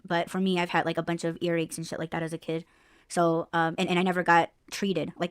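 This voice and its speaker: A woman hosting a podcast in casual chat, loud in tone, laughing often and swearing freely, with little bass in her voice. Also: no echo, studio quality. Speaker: podcast host.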